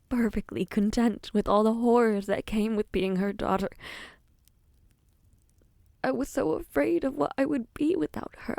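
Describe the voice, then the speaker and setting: A woman playing an anguished, self-loathing young princess in a dramatic audio roleplay, speaking in a formal, halting way. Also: a little high, gravelly, a little mellow, no room sound, clean studio sound. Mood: ashamed, afraid.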